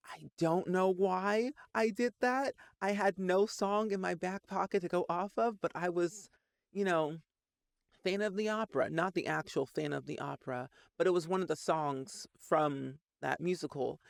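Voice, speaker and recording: A man's voice: high-pitched, normal speed, vocal fry, no room sound, clean studio sound. A man in his late twenties telling a personal story in a casual, rambling way, full of "like" fillers. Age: 20s. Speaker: Sims content creator.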